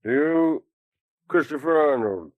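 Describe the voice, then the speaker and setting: An actor playing a homespun, obliging, avuncular judge in a 1940s radio horror drama. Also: male voice, fairly high pitch, animated, measured pace, no echo, dull audio. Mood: genial, officiating. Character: folksy, obliging small-time male judge, kindly.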